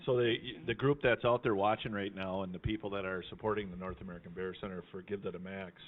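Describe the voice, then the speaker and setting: A man's voice: deep voice, fairly even intonation, fast. A man chatting casually as host of a live fundraiser, in a folksy, teasing way. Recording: thin phone-like sound.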